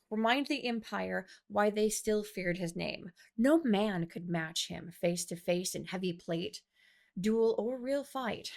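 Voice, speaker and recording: A woman's voice: medium pitch, gravelly. A woman reading a scripted fantasy story aloud as its author and narrator. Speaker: author reading own audiobook.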